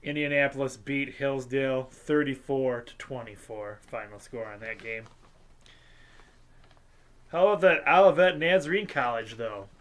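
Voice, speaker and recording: A congested host, casually reading a sports scores recap with lots of ums and uhs and small jokes. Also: male voice, mid-range voice, fairly bright tone. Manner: halting, filler-heavy delivery with light jokes. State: congested, sinusy.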